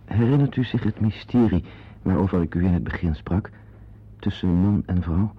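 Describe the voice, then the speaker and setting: A man's voice: normal speed, breathy edge. An actor playing a needy, flustered man in a radio play, with a hesitant, confiding manner. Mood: confiding, savouring. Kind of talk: radio drama acting with asides to the listener. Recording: dull old radio recording with rumbling noise.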